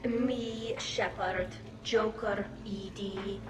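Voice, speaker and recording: An actress playing a playful young female alien engineer in a video game, expressive and teasing. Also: mid-range voice, extremely slow, echoey room, over music. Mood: playful, earnest. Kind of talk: video game character acting.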